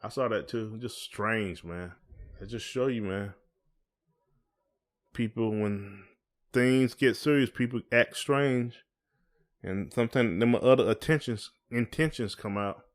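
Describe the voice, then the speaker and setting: Low-voiced American man chatting casually. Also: measured pace, long pauses. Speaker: podcast host. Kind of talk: casual chat.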